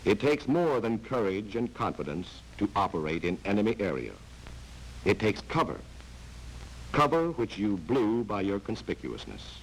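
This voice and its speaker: A man's voice: on the low side, very animated, moderate pace, rough recording. An actor narrating a dramatized 1940s training film as a stern, mocking man who addresses a careless spy directly. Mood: mocking, reproachful. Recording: hissy old 1940s film soundtrack.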